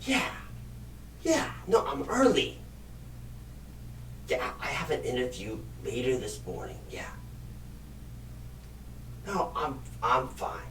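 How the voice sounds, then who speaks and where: A man playing a clueless, flustered job recruiter in an ASMR comedy roleplay, stammering and repeating himself in a husky, halting voice. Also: mid-range voice, huge pitch swings, fairly bright tone, slight background noise, heavily acted, rough recording. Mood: defensive, muttering. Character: flustered, clueless, absent-minded male job recruiter, apologetic and rambling. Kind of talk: ASMR comedy roleplay, celebrity-style impression.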